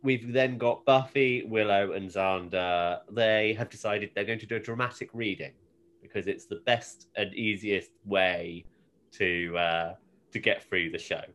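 Man recapping casually in a halting way. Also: on the low side, fairly even intonation, a bit bright, highs cut off. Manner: halting, informal storytelling. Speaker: podcast co-host. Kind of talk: casual episode recap, conversational.

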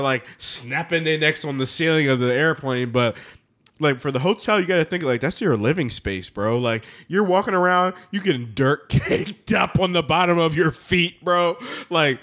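A man with a somewhat high voice talks casually among friends. He is slangy and opinionated, stating his points with emphasis. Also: a bit bright. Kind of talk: casual chat.

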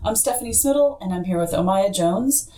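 A woman hosting a talk podcast, her voice sitting at an ordinary middle pitch. She reads news items and then chats casually with a guest, breaking in with teasing little asides. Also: expressive, mellow. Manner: chatty, teasing, quick asides. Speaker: podcast host. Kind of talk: casual radio interview.

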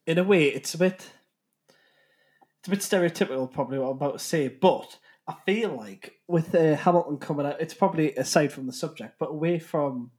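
He is a man with a fairly high voice. He talks casually as a podcast guest, circling back over his phrases in a hesitant, repetitive way. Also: fairly quick, darkish tone. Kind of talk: casual chat.

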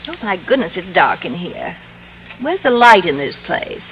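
An actress playing a catty, falsely sweet schoolteacher in a gushing, affected manner for old-time radio comedy. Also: female voice, medium pitch, very animated, normal speed, slightly rough, lo-fi sound. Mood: flustered. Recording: old radio recording with studio audience.

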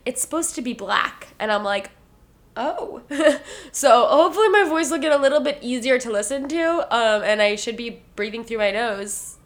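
A woman's voice: on the high side, moderate pace. A woman co-hosting a podcast in casual chat, with a stuffy, nasal voice, talking freely and laughing often. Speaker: podcast co-host. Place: lives in New York City.

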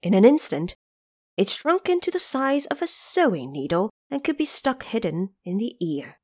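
A woman narrator telling a scripted fantasy tale in a theatrical audio drama style, with deliberate dramatic emphasis. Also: on the high side, big pitch swings, darkish tone.

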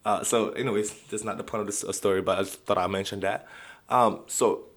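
Low-voiced man telling stories. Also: fairly even intonation, brisk, fairly bright tone. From Nigeria. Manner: rambling, many restarts, laughs often. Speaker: vlogger. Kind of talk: casual storytelling.

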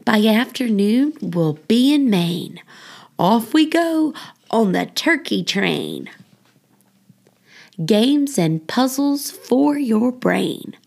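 Creaky-voiced woman hosting children's storytime. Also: fairly high pitch, big pitch swings, extremely slow, a little mellow. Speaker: children's storybook reader and podcast host. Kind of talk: read-aloud children's story with casual intro and outro.

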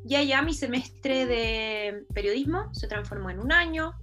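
A woman's voice: on the high side, unhurried, over music. A young Chilean woman, a journalist and presenter, telling her story in a chatty way during an online talk. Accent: Chilean Spanish. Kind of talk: talk presentation on video call.

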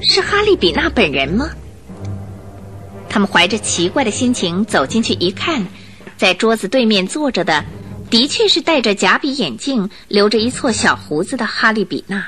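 A woman's voice: medium pitch, sing-song, moderate pace, fairly bright tone. A woman narrating a radio drama in a scripted, suspenseful storytelling style, posing questions expressively. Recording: faint music behind narration. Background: faint music.

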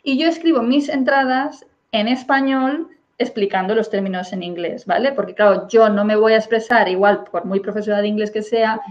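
Woman from spain, english teacher hosting, slightly high voice, lots of fillers, dull lo-fi sound. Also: fairly even intonation, normal speed, few pauses. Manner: thinks aloud with fillers, checks in with listeners. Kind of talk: casual interview conversation. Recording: dull lo-fi recording.

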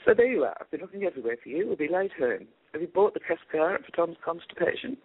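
A man's voice: on the high side, very animated, quick pace, slightly rough, voice pushed up. A man voicing a bossy middle-aged stepmother who scolds impatiently. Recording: tinny phone-like line.

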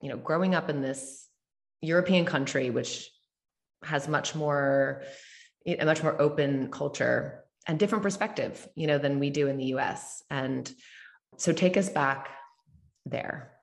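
Female podcast host, low voice, peppy and casual. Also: unhurried, creaky. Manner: upbeat, laughs often, casual swearing. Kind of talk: podcast interview.

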